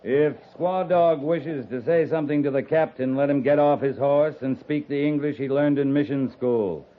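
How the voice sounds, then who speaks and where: An actor playing a stern, shrewd, commanding US Army cavalry captain in 1950s radio western style. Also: male voice, fairly high pitch, moderate pace, lightly acted. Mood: stern, challenging. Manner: commanding, clipped, sternly challenging. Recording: muffled lo-fi 1950s radio recording.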